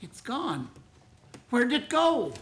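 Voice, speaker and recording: A man's voice: very high-pitched, wildly swooping pitch, slow pace, fairly bright tone, heavily acted. Man acting a flustered, dithering old uncle. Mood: distraught. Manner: dithering, stammering, taunting when cheerful. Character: absent-minded, flustered, kindly old uncle. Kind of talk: radio play acting.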